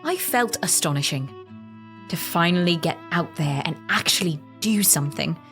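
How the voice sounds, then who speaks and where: A bold young queen, speaking candidly and with yearning in an intimate audio drama. Her voice is notably low, over faint string music. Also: animated, normal speed, fairly bright tone. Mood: candid, wry.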